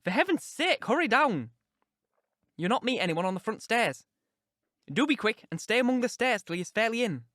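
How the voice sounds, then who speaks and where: A man's voice: sits high, lively intonation, fairly quick, a bit bright, no echo, clean studio sound, voice pushed up. A man voicing an agitated, outspoken female servant who scolds with urgent reproach in an audiobook drama. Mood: alarmed.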